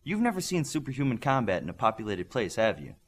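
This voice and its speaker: A man's voice: mid-range voice, very animated, fast. Man voicing a dry, teasing superhero girl. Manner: dry, playful.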